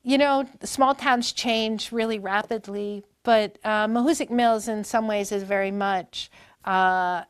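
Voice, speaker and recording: A woman's voice: mid-range voice, steady tone, unhurried, smooth, no echo. Chatty woman, dry close sound. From Maine. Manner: chatty, rambling with many fillers and restarts. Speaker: performer and guest. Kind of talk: conversational interview storytelling. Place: public library talk.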